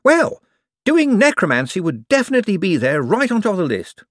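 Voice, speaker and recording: A jittery wizard bureaucrat, voiced by a male audiobook reader, who squeaks well above his normal register. His flustered, over-explaining voice leaps around wildly in pitch. The close recording is bone-dry. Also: huge pitch swings, brisk, dry recording, heavily acted. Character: nervous, evasive male wizard bureaucrat, middle-aged. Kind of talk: audiobook character voice.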